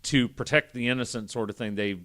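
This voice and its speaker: A man's voice: medium pitch, fairly even intonation, a little fast, fairly bright tone. A man hosting a casual podcast, reading a story aloud with irreverent, foul-mouthed joking asides. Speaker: podcast host.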